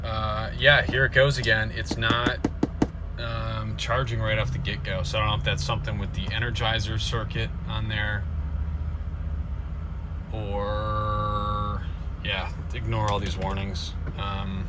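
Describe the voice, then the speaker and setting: A man's voice: on the low side, level tone, unhurried. A young man talking casually and unscripted to his vlog in a laid-back, wry way, recorded in a van. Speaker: vlogger documenting DIY van repairs. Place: mostly inside a van, often while driving. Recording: loud vehicle and road noise.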